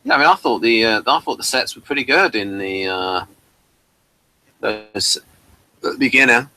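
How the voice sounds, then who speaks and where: A man with a sharp, bright voice at a middling pitch. He chats informally as a co-host, hesitating and circling back as he searches for the right words. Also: normal speed, poor audio. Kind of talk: casual chat about old television.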